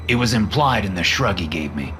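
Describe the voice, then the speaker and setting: Guy doing higher voices for the young crew, swoopy and tense, music under it. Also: animated, brisk, natural voice. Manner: raised pitch for dialogue. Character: young adult characters, men and a woman.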